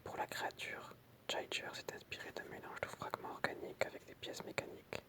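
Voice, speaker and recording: A person whispering in an ASMR style, reading out short pieces in a soft, explanatory way with casual asides. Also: a little fast. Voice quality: unvoiced, breathy whisper. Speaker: ASMR creator reading film trivia with commentary.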